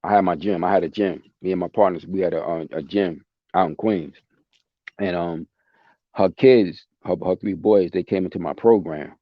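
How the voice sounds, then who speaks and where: Host, male, low voice, passionate talker, dry dull lo-fi recording. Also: moderate pace, no room sound. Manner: passionate, emphatic, repeats himself. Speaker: podcast host. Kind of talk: casual chat.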